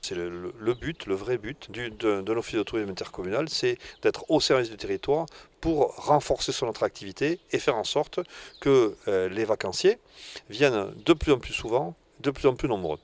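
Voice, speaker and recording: Man, director, interview answer, halting delivery, repeated phrases, average pitch. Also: darkish tone. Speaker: tourist office director.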